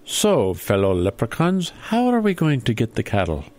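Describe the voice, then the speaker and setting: Male actor as leprechaun chairman, bossy, pompous, formal, very animated, comic radio play. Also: medium pitch, normal speed, slight background noise. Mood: exasperated. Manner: pompous, exasperated formal address.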